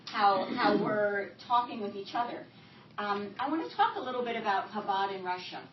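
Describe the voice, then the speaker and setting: A soft-spoken woman interviewing an author before an audience, hesitant, with many fillers. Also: medium pitch, animated, normal speed. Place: event hall with audience. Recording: roomy hall, distant mic, dull lo-fi sound, audible hiss.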